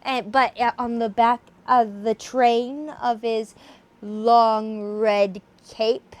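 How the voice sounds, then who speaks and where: A woman with a theatrical, expressive way of speaking, presenting horror stories as an amateur reader and host. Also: fairly high pitch, extremely slow. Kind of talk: dramatic reading, then enthusiastic casual chat.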